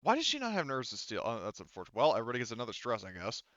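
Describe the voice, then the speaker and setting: A man's voice: mid-range voice, huge pitch swings, racing, fairly bright tone, no room sound, highs cut off. A man running a tabletop roleplaying game as game master, hesitantly thinking aloud as he works through the rules in a casual way.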